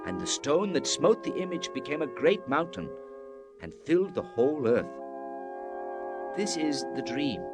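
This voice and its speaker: A man playing a devout Hebrew prophet in a dramatized scripture reading, solemn, fervent and declamatory, with dramatic pauses. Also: fairly high pitch, very animated, music in the background. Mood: grave, proclaiming.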